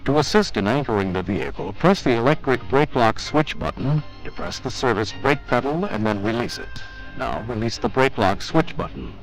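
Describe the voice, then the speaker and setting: A man's voice: mid-range voice, very animated, normal speed, creaky, rough recording. A man reading scripted instructional narration for a training film in a formal, methodical, step-by-step manner. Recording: 1980s training film soundtrack with music.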